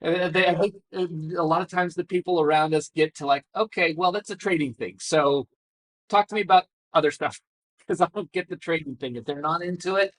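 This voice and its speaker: A man's voice: fairly high pitch, brisk, fairly bright tone, rough recording. Encouraging male interview host. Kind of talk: casual interview.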